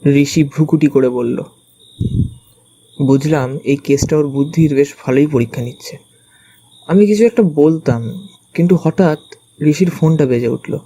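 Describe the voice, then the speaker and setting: A man reading a first-person scripted story as its narrator, with expressive storytelling delivery. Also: fairly high pitch, measured pace, pauses often, breathy edge. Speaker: narrator and detective's companion.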